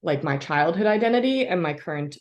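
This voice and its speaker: A woman with a notably low voice speaks as an interview guest and historian. She talks in a reflective, halting way, pausing often to search for words. She is from the United States. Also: lively intonation, highs cut off. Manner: thoughtful, halting, many fillers and soft laughs.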